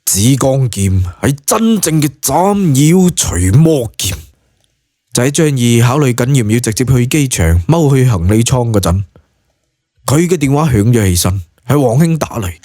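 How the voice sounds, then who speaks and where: Lively Hong Kong Cantonese male narrator. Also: mid-range voice, moderate pace, dry recording, clean studio sound. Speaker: audiobook narrator. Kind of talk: dramatised scripted narration of a web novel.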